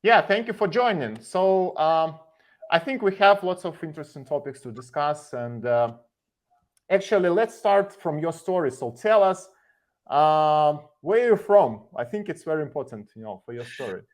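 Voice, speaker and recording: A man from Ukraine hosting a podcast interview, talking with many pauses and fillers. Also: fairly high pitch, animated. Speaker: podcast host. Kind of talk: casual interview.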